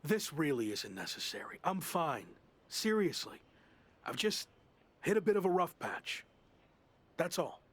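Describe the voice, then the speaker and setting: Weary pro wrestler, husky, stubborn, defensive, swinging intonation, game cut scene. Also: male voice, a little high, very animated, measured pace, pauses often, a bit bright, full character voice. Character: stubborn, down-on-his-luck male pro wrestler.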